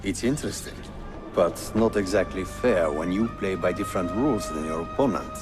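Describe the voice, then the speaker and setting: Guy playing ottoman prince, low voice, courteous and measured, game scene with music behind. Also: lightly acted. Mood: mildly reproving. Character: calm, dignified Ottoman prince and uncle. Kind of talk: dramatic video game cutscene acting.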